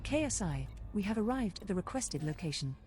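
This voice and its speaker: A woman's voice: mid-range voice, big pitch swings, fairly quick, noisy background, close to an everyday voice. A woman playing a composed, lightly sardonic spaceship computer co-pilot in a game. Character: female spaceship computer co-pilot, crisp and teasing. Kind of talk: scripted game voice assistant announcements.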